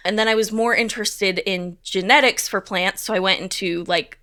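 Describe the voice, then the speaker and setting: A chatty young woman talks through an interview in an easygoing, upbeat way, her words full of casual fillers. Her voice is bright and forward, and her pitch sits in the middle. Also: normal speed. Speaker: stage manager.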